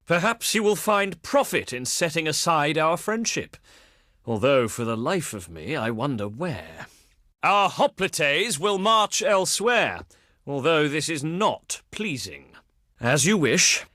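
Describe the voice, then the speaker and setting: An actor playing a proud, cultured, wry ancient Greek diplomat in a video game, speaking in formal, flowery and pompous oratory. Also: male voice, on the high side, very animated, slow, pushed and bright, dry recording, clean studio sound, exaggerated voice. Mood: disapproving, regretful. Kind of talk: theatrical game diplomacy voice lines.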